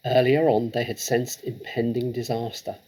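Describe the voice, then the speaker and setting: A man narrating a children's story expressively, with dramatic, measured delivery. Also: mid-range voice, little pitch movement, darkish tone, poor audio. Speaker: storybook reader.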